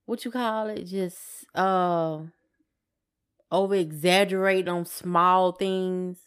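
A woman's voice: fairly low pitch, steady tone, slow pace, clear, resonant tone. A woman co-hosting a podcast in casual chat, giving brief, laid-back replies. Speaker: podcast co-host.

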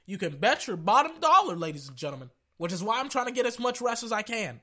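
A young man hosting a casual sports podcast, brash and excitable, talking through a sore throat as he loses his voice. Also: high voice, fast. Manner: brash and excitable, hypes with "ladies and gentlemen".

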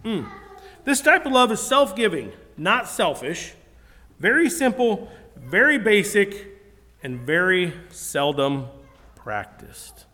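A high-voiced man gives a sermon in an earnest, conversational way, often stopping to restart his sentences. His voice rings out with a long reverb behind it. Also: big pitch swings, takes it slowly, bright, forceful tone. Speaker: preacher.

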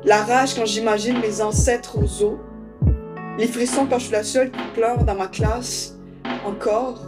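Slam poet, female, from Congo, high-ish voice, intense rhythmic delivery, with loud music behind. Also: fairly even intonation, a little slow. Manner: rhythmic, intense spoken word. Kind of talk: slam poetry performance.

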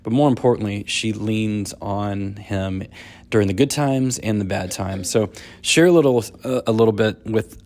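Man, very low pitch, host, encouraging, prayerful. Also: normal speed, a little mellow. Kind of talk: faith talk hosting and prayer.

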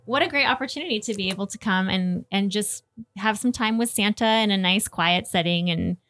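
A woman's voice: medium pitch, brisk, a bit bright. A woman hosting a casual podcast interview in a chatty, agreeable way.

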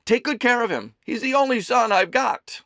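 A man voicing a doting, gossipy, middle-aged mother who runs a diner, loud and theatrical. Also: normal speed, gravelly, no room sound, full character voice. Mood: teasing. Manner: loud, theatrical, high chirpy voice.

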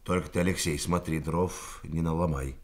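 A steady, fatherly detective in a radio play. He has a low, husky voice and an informal, calming way of talking. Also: moderate pace. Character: calm, fatherly male police investigator.

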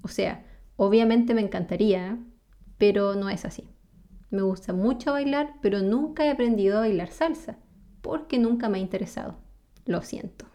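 A woman's voice: medium pitch, moderate pace, dark tone. A woman from Chile with a Chilean accent, explaining ideas in a friendly way. She often asks the listener questions and laughs between points. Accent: Chilean Spanish. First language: Spanish. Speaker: vlogger. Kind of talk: explanatory talk to camera.

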